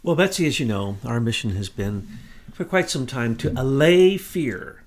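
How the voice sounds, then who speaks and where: A middle-aged man co-hosting a political commentary talk, talking fast and insistently. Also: medium pitch, very animated. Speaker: co-host.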